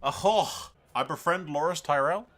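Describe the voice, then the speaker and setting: A man's voice: a little high, moderate pace, a bit bright. A man giving casual, improvised commentary on a strategy game playthrough, storytelling in role and swearing freely in a jokey, colloquial way. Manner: foul-mouthed, colloquial, jokey. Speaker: video game streamer narrating a playthrough.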